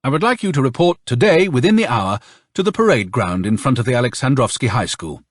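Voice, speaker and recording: A man acts out a suave army colonel for an audiobook. The voice is pitched up and swoops widely, with a husky edge. He is honeyed, emphatic and insincerely polite. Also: expressive, fairly quick, no echo, clean studio sound, natural voice. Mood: brisk command.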